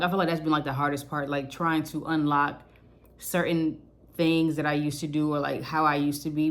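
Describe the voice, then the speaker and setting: A young woman with a naturally deep voice, rambling candidly through a personal vlog and cursing casually. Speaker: vlogger.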